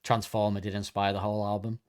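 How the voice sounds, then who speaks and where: A man's voice: on the low side, little pitch movement, fast, a little mellow, clear, resonant tone. A man co-hosting a podcast, chatting casually and reading facts from notes while correcting himself as he goes. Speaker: podcast co-host.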